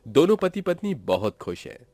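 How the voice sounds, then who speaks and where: A man's voice: fairly high pitch, very animated, normal speed, darkish tone. A man narrating a scripted story in a dramatic storytelling style. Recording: music behind the voice.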